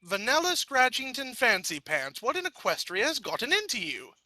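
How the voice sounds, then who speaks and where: A graceful, doting pony mother, as a man puts her on, cooing fondly in a storybook reading. The voice is high and bright, with no room echo. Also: huge pitch swings, moderate pace, full character voice.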